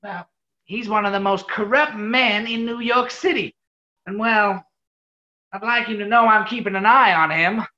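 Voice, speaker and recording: A woman's voice: mid-range voice, moderate pace, sharp tone, muffled recording, natural voice. A woman playing an earnest, cocky but nervy male tabloid reporter, hesitant yet insistent, in a 1940s radio comedy.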